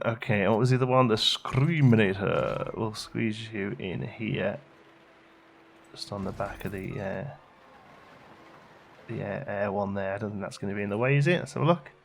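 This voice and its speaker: A man giving casual, low-key gameplay commentary as a video host, thinking aloud and muttering to himself as he works. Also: moderate pace, creaky, a little hiss or hum. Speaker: let's play video host.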